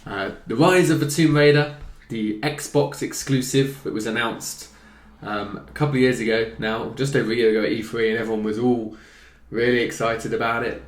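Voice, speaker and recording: A man's voice: medium pitch, fairly even intonation, normal speed, hardly any pauses. A hungover man in his twenties hosting a casual gaming news chat, jokey and teasing with his co-hosts. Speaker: host. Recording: roomy echo.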